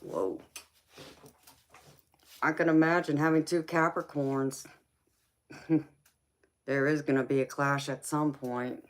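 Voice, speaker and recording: Woman in her forties, tarot reader, relaxed and slow-spoken, chatting back to viewer comments. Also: low-pitched. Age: 40s. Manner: easygoing, answers viewer comments by name. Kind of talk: casual live-stream chat with viewers.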